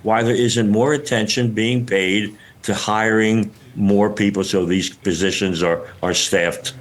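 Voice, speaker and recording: An older man being interviewed as an expert, explaining things haltingly as he searches for words. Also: on the low side, fairly even intonation. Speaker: retired air traffic controller, interviewed expert. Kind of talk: news interview, unscripted explanation.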